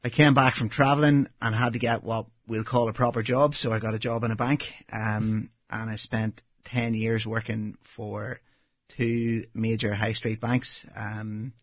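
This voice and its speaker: A Northern Irish man in his thirties, a restaurant owner answering interview questions in a relaxed, matter-of-fact way with frequent ums. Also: on the low side, measured pace, dry recording. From Northern Ireland.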